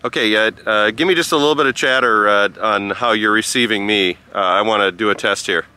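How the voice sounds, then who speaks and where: A man giving a casual vlog-style review outdoors in a halting, rambling way. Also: on the low side, very noisy. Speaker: product reviewer and amateur radio operator. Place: outdoors by a rural road.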